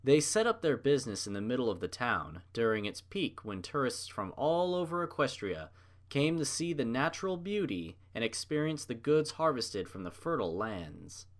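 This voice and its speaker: A man's voice: medium pitch, sing-song, normal speed. A man reading a story aloud as narrator, in a scripted storybook style.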